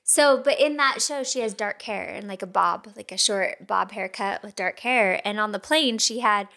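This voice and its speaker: A woman's voice: mid-range voice, moderate pace, sharp tone. A woman co-hosting a podcast, telling a story in casual chat, laughing often. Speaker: podcast co-host.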